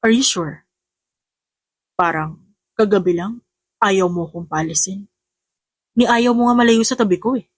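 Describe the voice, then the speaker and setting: A woman's voice: medium pitch, unhurried, long pauses, breathy edge, no echo. A woman voicing a teasing, exasperated young man in an audiobook romance, scolding and smug.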